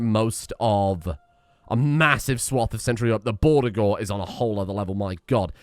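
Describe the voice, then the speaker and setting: A young man giving casual, excitable commentary on a strategy game, often exclaiming and restarting his sentences. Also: mid-range voice, no room sound. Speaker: gaming streamer. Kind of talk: casual let's-play commentary.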